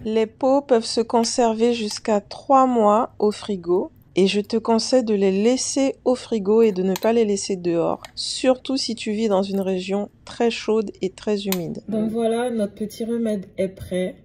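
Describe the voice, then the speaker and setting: Mellow-voiced woman chatting through a recipe. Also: mid-range voice, fairly even intonation, unhurried, hardly any pauses. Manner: chatty, addresses the viewer directly as a friend. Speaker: home remedy vlogger. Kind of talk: casual how-to narration to camera.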